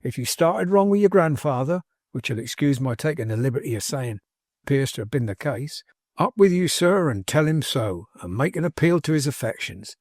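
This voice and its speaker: Man voicing an emphatic working-class manservant, crisp and dry. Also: medium pitch, lively intonation, moderate pace, dry recording, natural voice. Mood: earnest urging. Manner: emphatic, colloquial, ungrammatical, insistent. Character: cheery working-class young manservant, loyal, bold, scornful orator. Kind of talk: dramatised audiobook character dialogue.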